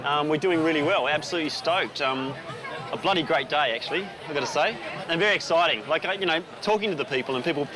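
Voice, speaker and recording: A man's voice: a little high, fast talker, crowd noise. A man presenting on camera, chatting enthusiastically and explaining food with casual fillers.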